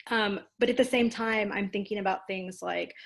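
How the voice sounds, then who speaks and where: A woman's voice: mid-range voice, steady tone, normal speed, vocal fry. Informal, self-deprecating woman guest. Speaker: guest, dramaturg and producer. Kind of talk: casual interview answers. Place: online video call.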